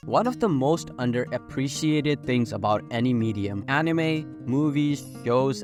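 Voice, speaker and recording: Higher-pitched young man over prominent music. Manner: informal, opinionated, sweary. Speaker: video essayist. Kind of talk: scripted video essay narration. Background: prominent background music.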